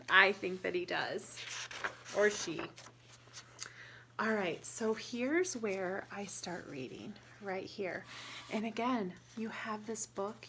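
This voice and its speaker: A woman reading a picture book aloud to young children, pausing to explain and ask questions in a deliberate, instructive way. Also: medium pitch, a bit bright, slight background noise.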